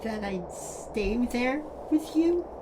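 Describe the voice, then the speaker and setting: A woman playing a betrayed, wounded friend in an audio drama, speaking in a deliberate, accusing way. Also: fairly high pitch, expressive. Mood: hurt, furious. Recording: clear background noise and ambience.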